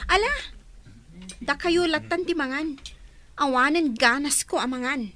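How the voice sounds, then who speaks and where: A woman's voice: high voice, measured pace, pushed and bright, a little hiss or hum. A woman playing a spoiled, resentful, disdainful schoolgirl daughter in an Ilocano radio drama. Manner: snappish, disdainful.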